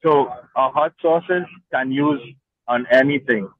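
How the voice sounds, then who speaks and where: A man from Trinidad and Tobago, a market vendor, explaining his products in a relaxed, plain way at an outdoor stall. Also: medium pitch, slow pace, husky, dry recording, phone-quality sound. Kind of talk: casual interview over a video link.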